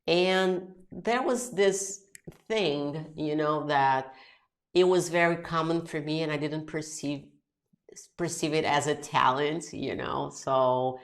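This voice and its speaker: Low-voiced Brazilian woman podcast host. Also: takes it slowly. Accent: Brazilian Portuguese. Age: 40s. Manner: chatty, mixing Portuguese and English. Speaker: podcast host and English teacher. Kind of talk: casual solo podcast talk.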